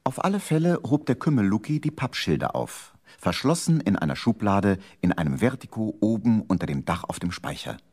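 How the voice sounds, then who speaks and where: A man with a husky voice narrating a scripted children's story for a radio play. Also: fairly low pitch, big pitch swings, brisk, hardly any pauses, darkish tone.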